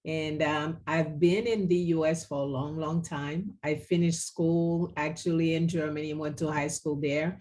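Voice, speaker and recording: Low-pitched woman from Haiti. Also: steady tone, a little slow, hardly any pauses, a little mellow. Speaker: interview guest, author. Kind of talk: measured interview answers.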